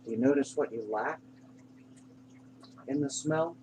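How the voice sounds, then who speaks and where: Male meditation guide: very slow, mid-pitched, soothing delivery in short phrases, with a clear background hum. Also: dark tone, poor audio. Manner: unhurried, reassuring, pausing between short phrases.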